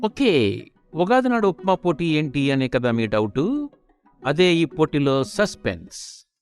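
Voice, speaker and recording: A man plays a female FM jockey in an audio comedy. She is showy and stylish, raised in pitch, drawing her words out slowly. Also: big pitch swings, soft background music.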